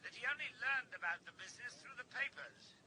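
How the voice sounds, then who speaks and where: Junior cop phoning in on a radio play, high really piercing voice, clipped n businesslike, phone sound. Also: male voice, animated, pushed and bright, full character voice, low-quality recording. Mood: businesslike, brisk. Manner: brisk, clipped factual reporting. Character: eager, businesslike male police subordinate reporting in. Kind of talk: radio drama acting.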